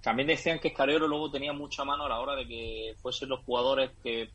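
Husky guy jumping in with details, mid voice. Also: mid-range voice, little pitch movement, quick pace, a bit bright, rough recording. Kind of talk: casual chat.

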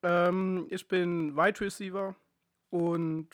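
A man's voice: high-pitched, level tone, slow pace, dry recording, clean studio sound. Modest, easy-laughing man in his twenties. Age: 20s. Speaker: podcast co-host and football player. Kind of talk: casual podcast chat.

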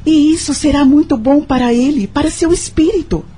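An actress playing a sympathetic, curious female friend in a radio drama, gentle and thoughtful. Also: high voice, moderate pace, close to an everyday voice. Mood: thoughtful, advising. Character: Lúcia, sympathetic, curious woman friend and confidante.

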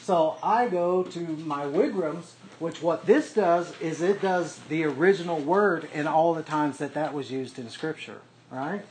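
A man's voice: a little high, a little slow, few pauses. A man leading a small Bible study, explaining word-study tools step by step and checking in with the group as he goes. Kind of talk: casual teaching to a small group. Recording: echoey room, faint background noise.

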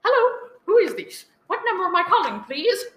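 Frantic, imperious housewife for radio drama, played by a woman; very high, sharply swooping voice, echoey room. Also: big pitch swings, moderate pace. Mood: fretful. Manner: fretful, demanding, melodramatic. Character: nervous, imperious invalid wife, shrill and frantic. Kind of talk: radio play acting. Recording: echoey live room recording.

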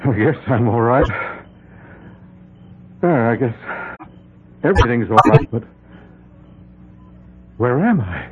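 A dazed lawyer pilot in a vintage radio play, stammering and polite. His pitch moves in lively arcs, heard through a narrow, phone-like old broadcast. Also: slow pace, long pauses, noisy background. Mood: shaken. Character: shaken lawyer pilot after a crash landing. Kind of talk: 1970s radio drama acting.

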